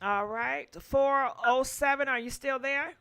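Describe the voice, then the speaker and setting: Woman, call-in host, bright tense voice, slightly high, chatty, filler words. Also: a little slow, smooth. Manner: chatty, many fillers, prompts callers. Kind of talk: casual call-in chat.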